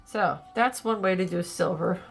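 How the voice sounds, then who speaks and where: Stuffy-nosed lady doing a laid-back art demo, low gravelly voice, lots of ums, soft music under it. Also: normal speed. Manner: chatty, thinking aloud while working, many ums and likes. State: congested, a little plugged up. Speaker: art channel host. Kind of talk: casual art tutorial demonstration.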